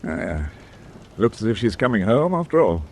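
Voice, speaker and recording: An actor playing a thoughtful, shrewd senior British naval officer in a war radio drama, with a clipped, musing authority. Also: male voice, fairly low pitch, very animated, moderate pace, a little hiss or hum.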